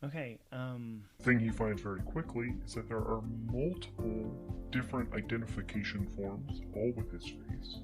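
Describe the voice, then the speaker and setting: A man's voice: medium pitch, normal speed, music in the background. A man narrating a solo tabletop game as host and game master, in a conversational storytelling manner.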